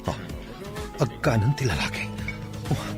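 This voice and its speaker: A man's voice: mid-range voice, sing-song, a little slow. A man with an Ilocano Filipino accent playing a jealous, hot-headed husband in an emotional radio drama. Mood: suspicious. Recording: loud music under dialogue.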